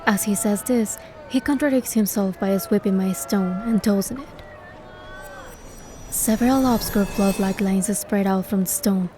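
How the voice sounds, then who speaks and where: A woman voicing a bold, curious young heroine, with expressive storytelling delivery in a fantasy game. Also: medium pitch, a little slow, faint music behind, natural voice. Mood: narrating, hushed wonder. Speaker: fan dub voice actor and narrator. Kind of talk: scripted first-person fantasy game narration and dialogue.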